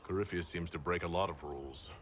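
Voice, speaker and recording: A man's voice: deep voice, fast talker, slight background noise, natural voice. A man playing an earnest, level-headed heroic leader in a video game, polite and restrained. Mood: thoughtful. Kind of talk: video game character dialogue.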